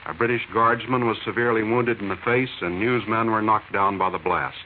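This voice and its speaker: Tinny vintage American radio news commentator, male. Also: mid-range voice. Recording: tinny, noisy 1940s radio recording.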